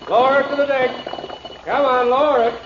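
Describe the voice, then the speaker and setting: An actor playing a no-nonsense waterfront crew boss in an old radio drama, with a muffled, noisy sound. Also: male voice, very high voice, level tone, brisk. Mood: commanding. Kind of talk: 1940s radio acting.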